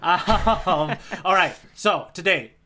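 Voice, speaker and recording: A man's voice: sits high, animated, slow pace, bright, forceful tone. A man co-hosting a podcast, recapping a story in casual, enthusiastic chat. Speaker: podcast co-host.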